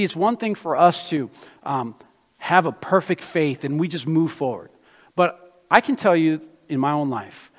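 A man preaching a sermon as pastor, born in Brazil and raised in the US, telling personal stories in an animated way. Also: on the high side. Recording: long hall reverb.

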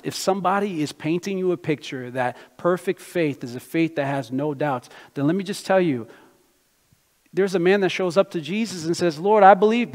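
A slightly high-voiced male pastor delivers a sermon, weaving his own life story into the message and climbing to emphatic, loud declarations. His voice rings with the long reverb of a large hall. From Brazil, raised in the United States.

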